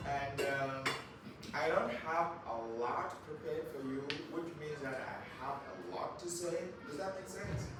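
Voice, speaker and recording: A man from Cameroon gives an easygoing talk to a group of children, often stopping to ask them questions. He speaks slowly at a middling pitch. The recording is distant and very echoey, with steady background noise. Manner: chatty, asks the audience questions. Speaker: guest speaker. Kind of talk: informal talk to a class of children. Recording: echoey room, mic far off, background noise.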